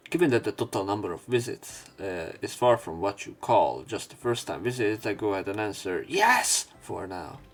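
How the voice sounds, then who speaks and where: A man reads a visual novel aloud as a let's player, haltingly, slipping in his own casual commentary. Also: lowish voice, expressive. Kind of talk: narration and commentary over a game, reading aloud with asides. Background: visual novel game music.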